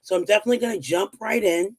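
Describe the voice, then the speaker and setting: A woman's voice: medium pitch, rapid-fire. A young woman with a strained, recovering voice, chatting casually as she teaches personal finance at a whiteboard, with lots of "um"s and upbeat encouragement. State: voice strained after losing it. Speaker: financial educator hosting a weekly livestream.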